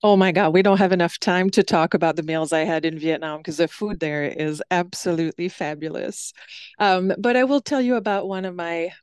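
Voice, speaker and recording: Mellow woman, dry sound. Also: lowish voice, a little fast, smooth, no room sound. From Quebec City, Canada. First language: French. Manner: thoughtful, frequent ums, light laughs. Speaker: guest, stage performer. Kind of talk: conversational interview answers.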